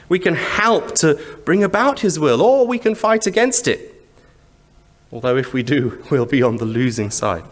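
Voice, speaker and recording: A man with a Zimbabwean accent preaching a sermon in a conversational, explanatory way, with rhetorical questions and repeated phrases. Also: a little high. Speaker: church preacher.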